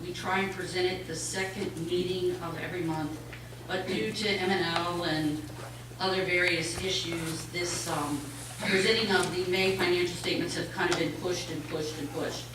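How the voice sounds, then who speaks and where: Loud-voiced woman presenting in echoey hall. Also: fairly low pitch, steady tone, moderate pace. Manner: loud, plain explaining to the board. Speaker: town finance official presenting a report. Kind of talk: explanatory presentation. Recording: echoey meeting hall, mic far off, clear noise.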